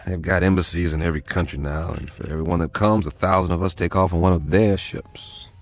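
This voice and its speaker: An actor playing a world-weary code breaker who narrates and talks with a dry, wry manner in a radio drama. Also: male voice, low voice, steady tone, brisk, dry recording.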